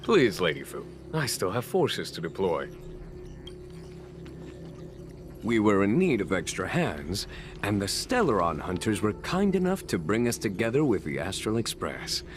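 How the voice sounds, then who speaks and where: An actor playing a calm, wry military general in a video game, speaking in a measured, formal and courteous way. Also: male voice, lowish voice, noisy background. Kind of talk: scripted video game dialogue. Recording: background music under the voice.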